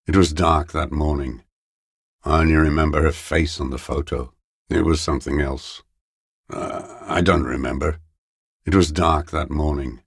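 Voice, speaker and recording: A man playing a bitter, hateful old communist deserter in a video game, brooding and contemptuous, with a gravelly, raspy voice and halting delivery. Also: a bass voice, no echo, clean studio sound, full character voice. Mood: evasive, halting. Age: older. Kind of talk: video game character monologue.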